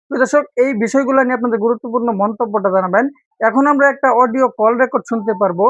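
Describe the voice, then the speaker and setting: A man's voice: high voice, no room sound. A man presenting viral clips in scripted narration, addressing his viewers on a lo-fi track. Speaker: video presenter.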